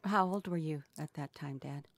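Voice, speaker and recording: Woman in her sixties, low-pitched, gentle and measured interviewer. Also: dry recording. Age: 60s. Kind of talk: oral history interview.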